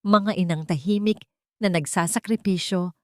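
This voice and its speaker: A woman narrating a scripted dramatic story in a solemn, sentimental manner with deliberate phrasing. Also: medium pitch, dry recording, studio quality. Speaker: storyteller, host of an audio story channel.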